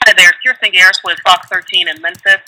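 A man's voice: high voice, fairly even intonation, fast talker, bright, forceful tone, a little hiss or hum, poor audio. A man working as a television reporter, asking direct questions on a press call.

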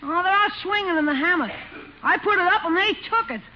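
Cheeky little boy, very high voice, slangy, griping, lively, noisy old radio comedy. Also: brisk. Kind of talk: 1950s radio sitcom acting. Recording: noisy, muffled 1950 radio recording.

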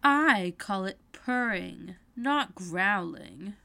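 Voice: mid-range voice, animated, drawn-out words, gravelly, pushed and bright, dry recording, pitched above the performer's own voice, full character voice. A narrator voicing an earnest, prim and inquisitive young girl in a storybook reading. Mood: doubtful, questioning.

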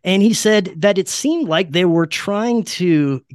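A man with a slightly high voice talks as a podcast guest in a dry, close recording. He tells his accounts in a casual, earnest way and swears freely. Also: lively intonation, unhurried, smooth, dry recording. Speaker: podcast guest, paranormal researcher and interviewer. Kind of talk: casual conversational storytelling.

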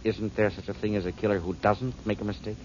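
An actor playing a calm, formal man who calmly announces a planned murder in a 1940s radio drama. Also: lowish voice, fast talker, natural voice. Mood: coldly calm. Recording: muffled old radio broadcast, faint hiss.

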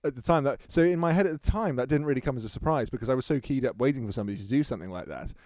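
A man's voice: mid-range voice, rapid-fire, a little mellow, dry recording, studio quality. A man in his thirties from England, co-hosting a podcast and telling stories in a chatty way with frequent laughter. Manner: chatty storyteller, laughs often. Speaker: podcast co-host. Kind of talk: casual chat.

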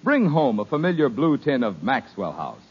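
Upbeat guy doing an old radio ad, husky, dull old sound. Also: medium pitch, wildly swooping pitch, normal speed. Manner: upbeat, salesy. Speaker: announcer. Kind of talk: 1950s radio commercial.

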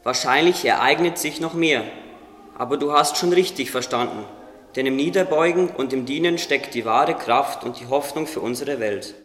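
A man's voice: medium pitch, normal speed, fairly bright tone, natural voice. A man playing a wise, modest winged creature who encourages a friend, earnest and instructive, in a religious radio play. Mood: reverent, teaching. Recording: echoey, long reverb, noisy ambience.

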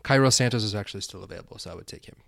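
A man co-hosting a casual sports podcast, easygoing and halting, often restarting his sentences. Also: on the low side, gravelly, no echo. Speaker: podcast co-host.

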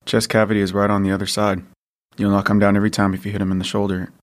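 A man's voice: lowish voice, monotone, racing, lightly acted. An actor playing a worn-down, scruffy father in his forties, speaking wearily and protectively. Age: 40s. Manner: weary, protective. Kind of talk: audio drama acting.

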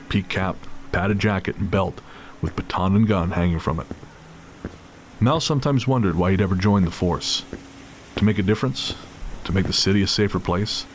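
Guy narrating an audio drama, really low voice, little bit of background noise. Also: normal speed.

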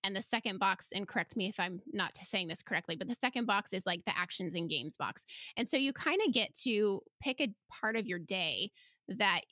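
A woman's voice: medium pitch, lively intonation, a little fast, bright, forceful tone, no echo. A woman hosting a casual podcast interview, chatty and enthusiastic in her way of talking. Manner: chatty, enthusiastic, laughs often. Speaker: podcast host.

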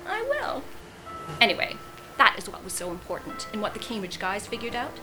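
A middle-aged wife in an audio drama, arch and deliberate, needling her friend with pointed politeness. Her voice is bright and forward, with music underneath. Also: mid-range voice, wildly swooping pitch, rough recording. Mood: hostly, pointed.

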